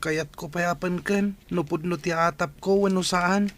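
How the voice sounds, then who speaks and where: A man's voice: high voice, fairly even intonation, a little slow. A man playing a troubled, suspicious youth, a protective older brother in a radio drama, speaking earnestly and urgently. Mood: worried, suspicious.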